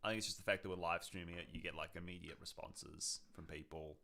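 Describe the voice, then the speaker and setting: A young man with a fairly low voice co-hosts a casual podcast. He talks in an informal, chatty way, as on a live stream. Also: steady tone, fast, creaky, pushed and bright. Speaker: podcast co-host.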